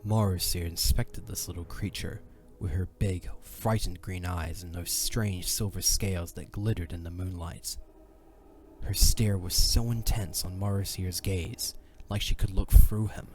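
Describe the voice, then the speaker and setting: A man's voice: low-pitched, fairly even intonation, a little slow, darkish tone, soft background music. A man narrating a dramatic fantasy audio drama in a solemn storyteller's delivery.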